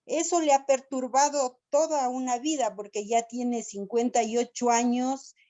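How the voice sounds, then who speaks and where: A woman speaks with an Ecuadorian accent in a somewhat high voice. She talks slowly and earnestly, sounding worried as she explains her situation. She sounds like she is on a video call. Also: fairly even intonation, dark tone. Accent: Ecuadorian Spanish. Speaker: audience member asking for help. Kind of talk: personal question in a live talk.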